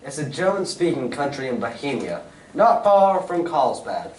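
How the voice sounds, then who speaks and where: Guy doing urbane brisk detective for a radio play, super animated, echoey and kinda noisy. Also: a little high. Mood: keen, instructive. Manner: urbane, precise, lecturing. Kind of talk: stage reading of a golden-age radio drama. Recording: distant roomy stage recording, faint noise.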